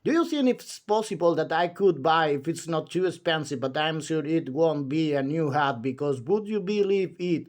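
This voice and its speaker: A man voicing an excitable, cheerful storybook chatterbox who rambles fussily and keeps interrupting himself. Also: a little high, moderate pace, few pauses, close to an everyday voice. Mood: fussy, rambling. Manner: breathless rambling, self-interrupting, fussy.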